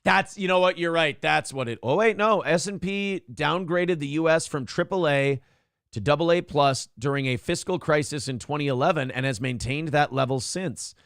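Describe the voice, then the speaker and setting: Male host, gravelly, a touch high, crude and offhand, dry close mic. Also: normal speed, a bit bright, no room sound. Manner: brash, profane, reads viewer donations aloud. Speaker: morning show host. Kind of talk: casual political talk show banter.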